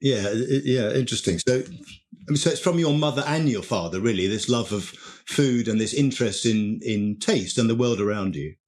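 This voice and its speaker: A man's voice: medium pitch, normal speed, darkish tone. Chatty male podcast host. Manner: chatty, friendly questioning with short affirmations. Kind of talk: casual interview.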